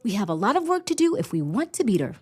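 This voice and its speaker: A woman playing a fiercely competitive, driven high-school team captain in an audio drama. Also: big pitch swings, fast, husky, no room sound. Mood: stressed, pushy. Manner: driven, insistent. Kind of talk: scripted audio drama acting.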